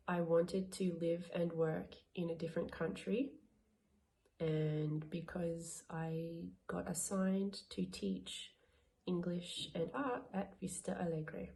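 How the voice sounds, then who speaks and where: A woman answers interview questions in a slow, deliberate way. Her voice is soft and mellow, with no rush at all. She sounds like a language assistant talking about her life abroad. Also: lowish voice. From Australia.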